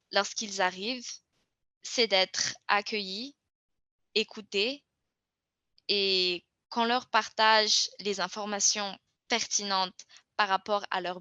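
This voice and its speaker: Young woman from madagascar, student telling her story, bright clear voice, dry close sound. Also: mid-range voice, dry recording. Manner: earnest, thoughtful, with pauses and restarts. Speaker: international student. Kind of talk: testimonial interview.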